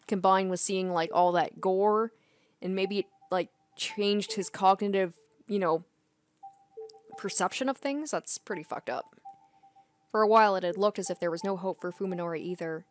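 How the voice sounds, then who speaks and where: A woman's voice: medium pitch, slight background noise. A woman streaming a game, reading visual novel narration aloud with casual asides. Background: soft game music.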